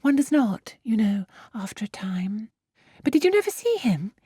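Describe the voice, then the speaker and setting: An eager, artless young English girl, as a woman reader plays her in a classic audiobook. Her voice leaps up and down with a soft husky breathiness. She hesitates, flusters easily and chatters on good-humouredly. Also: fairly high pitch, big pitch swings, normal speed, dry recording, clean studio sound, heavily acted. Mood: shy, chatty. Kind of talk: classic novel audiobook character voice.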